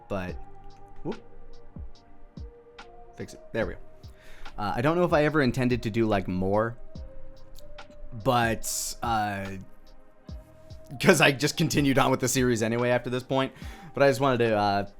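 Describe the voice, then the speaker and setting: Faint music plays behind a man with a mid-pitched voice. He talks casually and off the cuff, like a creator commenting on his own work, rambling and questioning himself as he thinks aloud.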